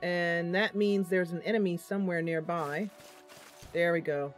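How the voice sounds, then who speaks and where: A woman's voice: on the low side, steady tone, unhurried, soft background music. A woman hosting a gaming channel, chatting casually through her gameplay and thinking aloud with lots of fillers.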